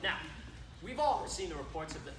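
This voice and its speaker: A woman's voice: fairly low pitch, big pitch swings, a little fast. A woman playing an earnest, self-important presenter of a zombie survival announcement on stage, mock-serious and declamatory. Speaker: presenter narrating the play. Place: stage in a hall. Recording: echoey stage recording.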